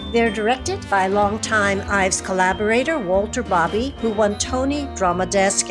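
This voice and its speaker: A woman hosts a radio drama program, reading a prepared introduction. Her voice sits at a middle pitch, with music clearly behind it. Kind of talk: scripted introduction.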